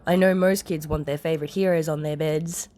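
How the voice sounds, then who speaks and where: A woman voicing a dry, practical, suspicious man who is a guardian, in a flat questioning manner. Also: low-pitched, a little fast, natural voice. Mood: practical, doubtful.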